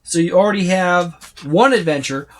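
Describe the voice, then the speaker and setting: A tabletop game reviewer giving a casual, unscripted overview, speaking haltingly with repeated words and trailing lists. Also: medium pitch, a bit bright. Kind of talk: casual unscripted book flip-through overview.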